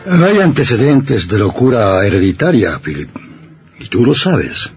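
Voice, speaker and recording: A man's voice: mid-range voice, big pitch swings, measured pace, soft background music. An actor playing a stern, suspicious older father, a surgeon, in a radio drama with an insinuating, hesitant manner. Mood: disapproving.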